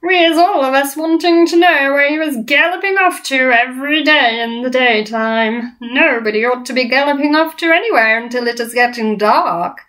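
A woman voicing a huge, menacing male giant in a storybook, booming and taunting in mangled folksy grammar. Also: moderate pace, hardly any pauses, a bit bright. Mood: menacing, bullying.

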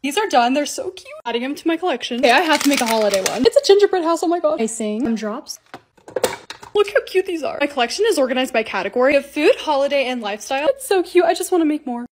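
Bubbly, fast-talking young woman vlogger. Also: high-pitched, background noise. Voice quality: slightly raspy. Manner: chatty, excitable, quick asides. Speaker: lifestyle vlogger. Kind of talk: upbeat voice-over narration of home projects.

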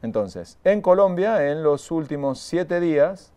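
A man's voice: on the high side, expressive, a little slow, mellow. Man explaining data to viewers. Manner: explanatory, repeats key points, talks to viewers. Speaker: trainer. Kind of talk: live online training. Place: studio in front of a graphic backdrop.

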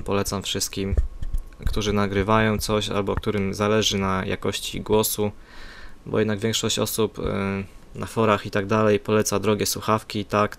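A man's voice: on the low side, creaky, a bit bright. A man giving a casual spoken product review in a hesitant, plain-spoken, improvised way into a USB desktop microphone. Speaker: amateur gadget reviewer. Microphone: USB condenser desktop microphone.

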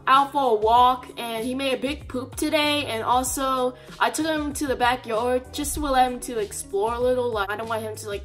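Young woman, higher-pitched, rambling vlogger. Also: fairly bright tone. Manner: rambling, halting, filler-heavy with frequent "like". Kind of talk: casual video diary. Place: small bedroom, indoors.

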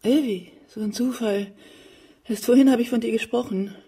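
An actress playing a genteel, brittle older widowed mother in a German radio play, with a husky voice. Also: on the high side, normal speed, warm tone, far from the mic. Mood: eagerly sociable.

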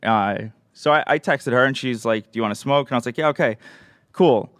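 A man's voice: mid-range voice, quick pace, fairly bright tone, clear, resonant tone, no room sound, clean studio sound. A young man casually telling a self-deprecating personal story on a podcast, in a sheepish way. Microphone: close studio podcast microphone.

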